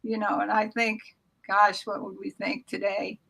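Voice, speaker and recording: A woman's voice: mid-range voice, flat delivery, unhurried, vocal fry, sharp tone, slightly rough, rough recording. An American woman in her seventies from Oklahoma, casually reminiscing as a guest author on a video call. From Oklahoma, United States. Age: 70s. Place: small room at home, indoors. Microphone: computer mic on video call.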